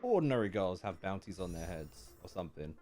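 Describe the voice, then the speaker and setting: A man's voice: sits low, sing-song, a little fast, dark tone. A man streaming, giving casual, slangy, joking commentary as he reacts to a game.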